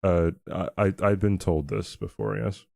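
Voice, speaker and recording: A man's voice: low voice, gravelly, no room sound, studio quality. A young man streaming a game who chats casually with his audience, often chuckling and stopping to restart his sentences. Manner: chuckling, halting and relaxed.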